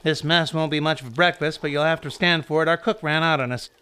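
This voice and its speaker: A man's voice: on the high side, fairly even intonation, a little fast, sharp tone, clean tone. A man playing a brusque, shifty, bossy fellow at a desert house in an audio mystery drama. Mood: polite, guarded. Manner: bossy, curt. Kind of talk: audio drama re-creation of 1930s mystery film.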